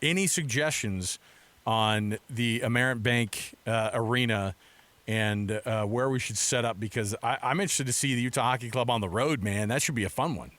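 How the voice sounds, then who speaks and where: A man with a mid-pitched voice hosts sports talk radio. He chats informally and banters easily, recorded close and dry in a studio. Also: normal speed, a bit bright, no echo. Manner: chatty, informal, often says "man". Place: radio studio in Salt Lake City. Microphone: close studio microphone.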